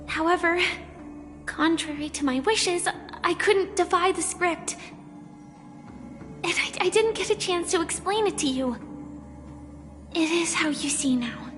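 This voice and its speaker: An actress voicing a gentle young woman who haltingly confesses a hidden identity in a video game, in a soft, earnest way. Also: sits high. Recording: game audio with reverb and music.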